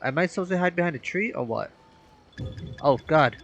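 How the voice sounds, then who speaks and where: A man's voice: a little high, lively intonation, brisk, fairly bright tone, soft background music. A man giving casual gameplay commentary, slangy and exclaiming, talking back to the game. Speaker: gaming streamer.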